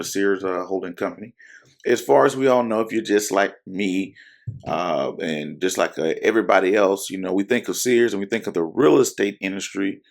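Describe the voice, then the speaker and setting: Male investing-channel host, low and gravelly, hoarse today, loose rambling delivery with many fillers. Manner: rambling, full of fillers and self-corrections. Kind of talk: casual talk to camera.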